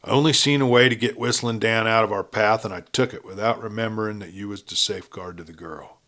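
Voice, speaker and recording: A man's voice: fairly low pitch, quick pace, pushed and bright. A man voicing a ruthless, blunt outlaw gang leader with a folksy cowboy drawl in a Western story. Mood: commanding, conciliatory.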